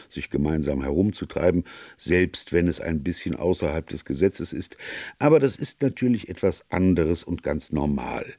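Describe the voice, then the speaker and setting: A man acts out a serious, plain-spoken police colonel from a storybook. The voice is deep and creaky, recorded very dry. He speaks formally and earnestly, weighing his words. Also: a little mellow, no echo. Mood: explaining. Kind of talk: audiobook character dialogue.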